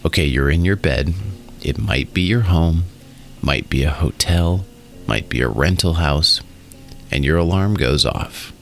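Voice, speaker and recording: Very deep-voiced man guiding a slow meditation. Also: animated, vocal fry. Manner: soothing, instructional, short phrases with pauses. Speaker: guided meditation host. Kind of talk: guided meditation and hypnosis narration. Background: music.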